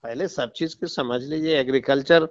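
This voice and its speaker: A man's voice: mid-range voice, moderate pace. A man, a government official on a phone call, lecturing in an impatient, condescending way. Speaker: government minister taking a call. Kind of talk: phone conversation. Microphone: telephone call recording.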